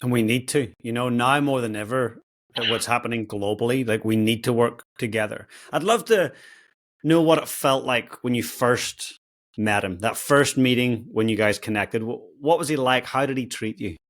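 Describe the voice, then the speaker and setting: A man's voice: medium pitch, normal speed. A man hosting an interview, encouraging his guest and asking long open questions. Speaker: podcast host.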